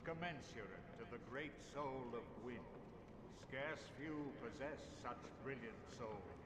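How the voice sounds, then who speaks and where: An actor voicing a solemn male serpent herald in game dialogue, speaking in a grave, measured way. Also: medium pitch, expressive, lightly acted. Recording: roomy game audio with heavy noise.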